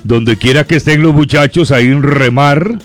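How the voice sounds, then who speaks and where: A man's voice: on the high side, moderate pace, soft background music. A man with a Costa Rican accent hosting a casual comedy radio show, chatting and sending greetings to listeners.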